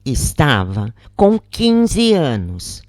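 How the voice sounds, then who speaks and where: A woman with a Brazilian accent reading a scripted story in a measured, deliberate audiobook style. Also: low voice, wildly swooping pitch, a little mellow, no echo. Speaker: channel narrator reading a book.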